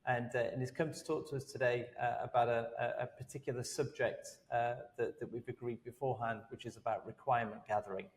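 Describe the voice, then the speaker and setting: A man hosting a podcast interview, friendly and halting, with many ums and uhs. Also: mid-range voice, flat delivery, big-room sound. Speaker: podcast host.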